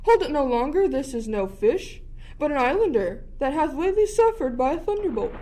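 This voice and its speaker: A woman's voice: sits high, very animated, fairly quick, heavy background noise. A woman playing a jittery, inquisitive shipwrecked jester in a theatrical Shakespearean radio play. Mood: wary curiosity. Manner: theatrical, musing in archaic prose.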